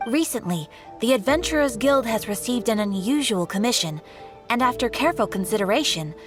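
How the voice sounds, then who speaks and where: A woman's voice: fairly high pitch, expressive, fairly quick, music in the background, close to an everyday voice. A woman playing a composed female guild receptionist in a game, giving a formal, courteous briefing.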